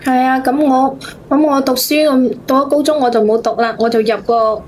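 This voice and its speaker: A woman with a Cantonese accent telling her story to a host as a caller, in a halting, repetitive, plaintive way. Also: high-pitched, steady tone, a little mellow. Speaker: phone-in caller. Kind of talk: casual personal storytelling to a host.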